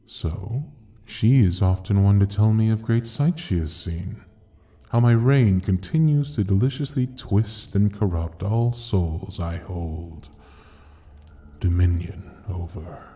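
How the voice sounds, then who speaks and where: A man playing a sinister, grandiose ruler of hell in an audio drama, mocking and seductive, with theatrical, drawn-out pauses. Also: fairly low pitch, dark tone. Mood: smug, sinister. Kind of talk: dramatic audio roleplay acting.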